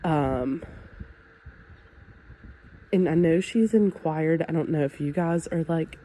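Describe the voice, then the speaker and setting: A woman's voice: deep voice, slow pace, rough recording. A woman with a stuffy, nasal voice from a sinus infection, casually walking through a house as a real estate agent. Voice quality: nasal, congested. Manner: relaxed and chatty, with fillers like "um" and "like". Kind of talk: casual home walkthrough tour.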